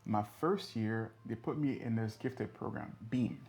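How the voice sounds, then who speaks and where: A man's voice: lowish voice, a little mellow. Earnest man in his thirties, reflective. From born in the US, raised in Europe. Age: 30s. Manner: earnest, measured, with occasional fillers. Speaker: podcast host. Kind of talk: reflective solo podcast monologue.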